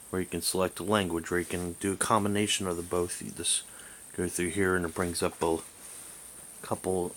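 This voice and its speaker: A man's voice: low voice, fairly bright tone. A man giving a casual hands-on demonstration as a hobbyist, rambling and hesitant as he thinks aloud into a camera mic. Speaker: amateur reviewer and radio hobbyist. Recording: hissy amateur camera recording.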